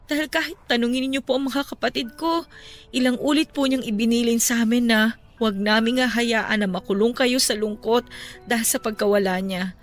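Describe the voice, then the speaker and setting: A woman performs a patient, caring young daughter who talks softly and respectfully as she soothes her grieving father. Her voice is fairly high, with faint music playing underneath. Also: close to an everyday voice. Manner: soft, respectful, consoling. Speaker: voice actress in a radio drama. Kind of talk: radio drama acting.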